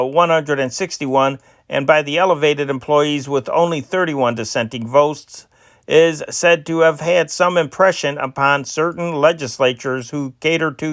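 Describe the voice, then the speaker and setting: A man reading old newspaper stories aloud in a steady amateur style, with small slips and restarts. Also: a little high, no echo, clean studio sound. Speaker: newspaper reader.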